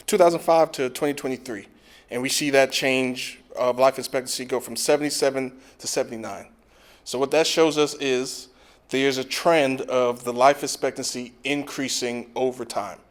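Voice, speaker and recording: A man, a physical therapy professor, giving a relaxed online lecture with frequent fillers. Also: mid-range voice. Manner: relaxed, with frequent "um" and "you know". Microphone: video call.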